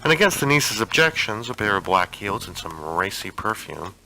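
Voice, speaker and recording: A man narrates fiction in a low register with a bright, forward edge to his voice. He reads scripted prose in the manner of an audiobook. Clear background noise runs under the recording. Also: sing-song, moderate pace, low-quality recording. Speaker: narrator reading fiction aloud.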